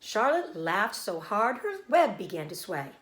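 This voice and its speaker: A woman reading a children's storybook aloud as a teacher, narrating expressively and pausing to explain words simply. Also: mid-range voice, very animated, a bit bright, reverberant.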